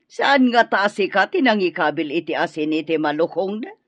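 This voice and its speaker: A woman's voice: fairly low pitch, animated, brisk, a bit bright, no room sound. Bossy, wildly swooping Ilocano mother-in-law. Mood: accusing. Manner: scolding, demanding. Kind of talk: radio drama acting.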